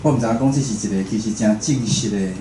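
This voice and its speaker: A man's voice: lowish voice, fast talker. A man with a southern Taiwanese accent, a radio presenter giving an informal talk as guest speaker. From Chiayi, southern Taiwan. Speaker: guest speaker, radio station head and programme host. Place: indoor room before a live audience. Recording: echoey room with clear background noise.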